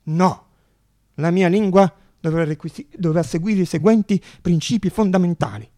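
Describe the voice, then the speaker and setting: Un uomo che interpreta in un monologo teatrale un giovane inventore idealista, che ragiona agitato tra sé, discutendo con se stesso. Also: male voice, sits high, normal speed, warm tone, no room sound, studio quality, lightly acted. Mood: defiant. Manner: agitated, self-questioning, arguing with himself. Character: idealistic young male inventor, feverishly reasoning aloud to himself. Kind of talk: theatrical monologue radio drama.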